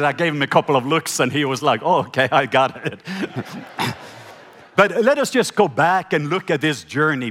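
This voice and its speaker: A middle-aged man from Norway with a Norwegian accent, preaching a sermon. He slows down to read scripture and has the congregation repeat key words back. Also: on the high side, fairly bright tone. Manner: slows down when reading scripture, prompts the audience to repeat words. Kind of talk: sermon with scripture reading and call-and-response.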